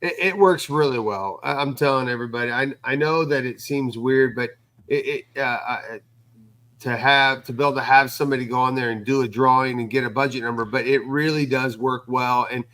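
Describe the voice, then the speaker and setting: A man speaking as guest co-host and fence contractor in a casual recap chat, with a halting delivery that repeats words. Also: mid-range voice, sharp tone.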